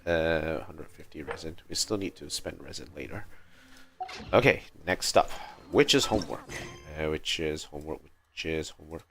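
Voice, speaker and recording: A man giving casual, low-key live commentary as he streams a game, often muttering to himself. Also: unhurried, gravelly, fairly bright tone. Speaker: game livestreamer. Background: game music.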